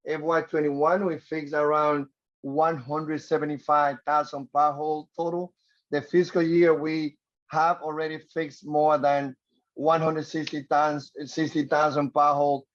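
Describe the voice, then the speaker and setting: A man from the Republic, a transportation official giving earnest, halting testimony at a council hearing. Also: a little high, lo-fi sound. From Dominican Republic. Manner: earnest, halting, repeats words.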